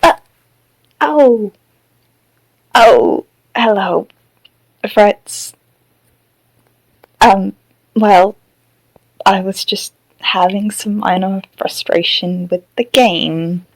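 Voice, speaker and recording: A woman playing an embarrassed, awkward housemate in an audio drama, speaking in a halting, sheepish manner. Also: mid-range voice, no room sound, low-quality recording. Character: embarrassed, awkward housemate downplaying her frustration.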